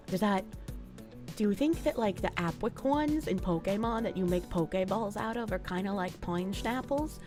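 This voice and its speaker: A woman with a mild Boston accent, talking loosely to her live chat. She rambles, swears freely and restarts her sentences, at an ordinary pitch for a woman. Soft music plays faintly behind her. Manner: rambling, frank, profane, with frequent restarts. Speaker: livestreamer. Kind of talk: casual live-stream chat reading donations.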